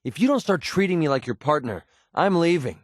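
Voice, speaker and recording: An actor voicing a wry, prickly young mercenary sniper with a hidden soft side in a video game, sarcastic and conversational. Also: male voice, a little high, fairly quick, no room sound, clean studio sound, close to an everyday voice. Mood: angry, frustrated. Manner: sarcastic, conversational, emotionally expressive. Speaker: video game companion character. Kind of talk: naturalistic video game voice acting.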